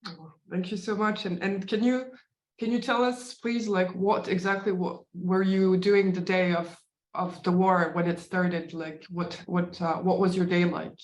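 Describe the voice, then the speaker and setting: A woman's voice: on the low side, fairly even intonation, a little slow, darkish tone. A woman moderating a conference interview, speaking hesitantly and often repeating words as she puts her questions. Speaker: host, moderator. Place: virtual conference call in an echoey room. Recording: roomy, echoing sound.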